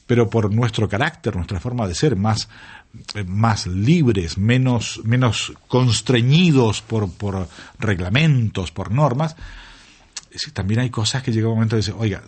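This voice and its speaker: Husky older man, reflective radio guest. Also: lowish voice, moderate pace, a little mellow. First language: Italian is his second mother tongue. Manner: reflective, with frequent fillers and tag questions. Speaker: retiring radio colleague, interview guest. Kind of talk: relaxed conversational interview.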